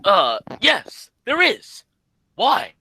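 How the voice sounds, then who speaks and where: A man acts out a bossy straight man from a radio comedy duo. His voice is very high, bright and swooping. He is brisk and scolding, forever exasperated with his partner. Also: huge pitch swings, creaky, slightly rough, no echo, exaggerated voice. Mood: eager. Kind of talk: radio comedy sketch acting.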